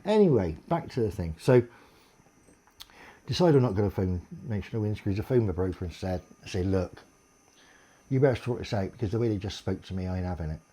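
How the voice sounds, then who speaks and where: A deep-voiced man, in a blunt, sweary, aggrieved monologue complaining to camera. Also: animated, brisk, mellow.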